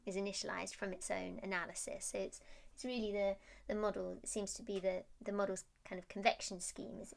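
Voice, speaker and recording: A woman's voice: medium pitch, vocal fry. A woman presenting research as a scientist, explaining technical slides in a hesitant, stop-start way.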